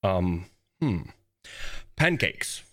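A man co-hosting a community podcast, talking casually in a deep, commanding voice with a relaxed, playful way of cutting in. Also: very slow, a little hiss or hum. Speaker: podcast co-host.

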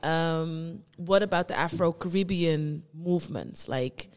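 A young woman from the Netherlands, a guest performing artist, speaking reflectively and searching for her words with many pauses. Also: deep voice, in a large hall. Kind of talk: reflective conversation.

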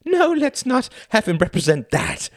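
A flamboyant, theatrical stage performer, put on by a male narrator, delivering lines in a grandiose, excitable way. The voice sits high and leaps wildly up and down. The recording is dry and close. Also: moderate pace, dry recording.

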